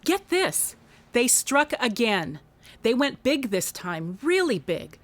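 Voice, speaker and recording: Wry, animated private investigator. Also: female voice, fairly high pitch, takes it slowly, full character voice. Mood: concerned. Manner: wry, matter-of-fact. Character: brisk, wry female private investigator, a widow. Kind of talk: contemporary radio drama acting.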